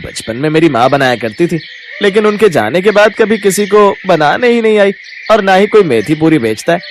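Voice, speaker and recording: A man voicing an eager, chatty street-food customer in an animated moral story, full of enthusiasm. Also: high-pitched, normal speed, a little hiss or hum.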